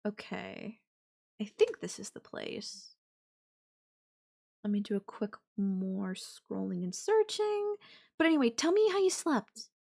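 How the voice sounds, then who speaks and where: A woman voice actor playing a possessive yandere girlfriend in an intimate audio roleplay. She talks very slowly and coyly, with hesitant hums and pauses, her pitch swinging in a lively way as she feigns sweetness. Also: gravelly, exaggerated voice. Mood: sweet, coy. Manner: hesitant, drawn-out, coy delivery with hums and pauses. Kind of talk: girlfriend audio roleplay, one side of a call.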